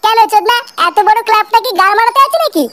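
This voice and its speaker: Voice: very high voice, quick pace, very noisy. A performer voicing a foul-mouthed, irritable, henpecked husband who grumbles constantly in a comic cartoon. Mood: grumbling.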